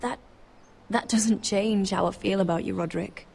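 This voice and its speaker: A woman's voice: mid-range voice, fairly even intonation, normal speed, creaky, a little mellow, slight background noise, close to an everyday voice. A young woman acting as a determined, anguished noblewoman in a dramatic fantasy video game, with earnest intensity. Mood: earnest, wounded.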